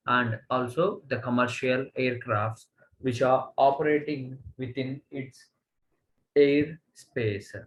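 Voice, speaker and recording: An Indian man delivers a college lecture at a very slow pace, in a medium-pitched voice. He speaks haltingly and often repeats a phrase to stress it. A faint background noise sits under the recording. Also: rough recording. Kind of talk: slide lecture, reading and explaining.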